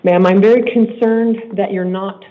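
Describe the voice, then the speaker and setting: A man acting as judge in a court hearing. He speaks in a stern, measured way and repeats himself when he is pressing a point. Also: sits high, steady tone. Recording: tinny court livestream audio.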